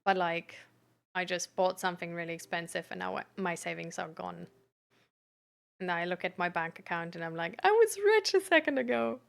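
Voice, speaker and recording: A woman chatting casually like a livestream host reading and answering messages, halting and giggly, with lots of "like" as filler. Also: fairly low pitch, animated, creaky, clear, resonant tone.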